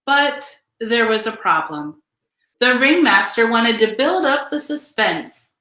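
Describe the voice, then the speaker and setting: A woman reading a children's picture book aloud in an expressive, deliberate storytelling style. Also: a little high, reverberant. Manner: measured, deliberate storytelling delivery with emphasized phrases. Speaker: storybook reader.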